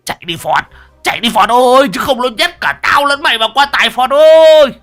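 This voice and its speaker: A man voicing a panicked old village man who wails and stammers in high screams. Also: animated, fairly quick, vocal fry, fairly bright tone, faint music behind, full character voice. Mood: panicked shrieks.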